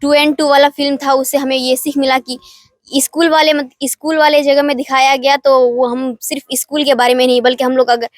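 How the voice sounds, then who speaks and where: A woman's voice: sits high, level tone, a little fast, no room sound, studio quality. A young woman gives an informal spoken reflection as a film festival participant.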